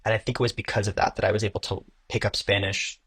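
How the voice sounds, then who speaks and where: A man's voice: fairly low pitch, little pitch movement, fast, fairly bright tone, husky. A man from the United States, a guest in a casual interview, speaking loosely with many fillers.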